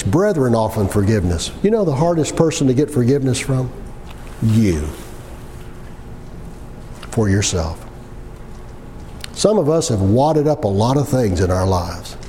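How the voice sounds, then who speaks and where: Man, 60s, for sermon delivery: folksy and emphatic, very lively intonation, noisy recording. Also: on the high side. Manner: folksy, conversational; emphatic repetition with rhetorical questions. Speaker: preacher. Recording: long hall reverb with background noise.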